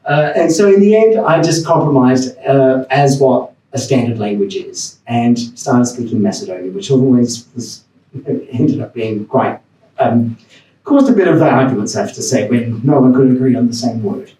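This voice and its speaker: A man's voice: mid-range voice, lively intonation, normal speed, mellow, roomy sound, distant mic, rough recording. A man from Australia giving a personal, rambling talk on a panel, with restarts and chuckles. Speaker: panel speaker. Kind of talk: academic talk. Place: lecture room.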